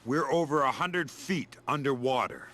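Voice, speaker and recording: A man's voice: mid-range voice, lively intonation, normal speed, fairly bright tone, a little hiss or hum, natural voice. A man playing a seasoned, wry and sardonic covert agent in a video game.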